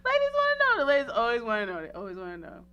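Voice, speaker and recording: Bright, high-voiced, playful woman chatting. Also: very fast, smooth, dull audio. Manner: playful, animated, slangy, emphatic. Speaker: radio talk show host. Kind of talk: casual chat.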